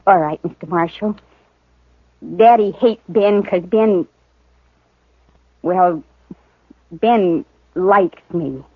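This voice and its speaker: A shy, earnest frontier girl of about sixteen, with a soft country drawl. The sound is old radio: thin and phone-like, dry and close. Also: fairly low pitch, extremely slow, pauses often, no room sound. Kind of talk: 1950s radio acting. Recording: narrow-band vintage radio broadcast.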